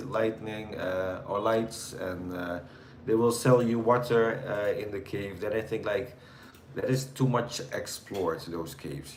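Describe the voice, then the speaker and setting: Man, Dutch accent, non-native English, low voice, roomy echo, casual livestream chat. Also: a little hiss or hum. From Netherlands. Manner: relaxed, rambling, halting as he reads viewer comments. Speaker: livestream host. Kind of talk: casual live chat answering viewers.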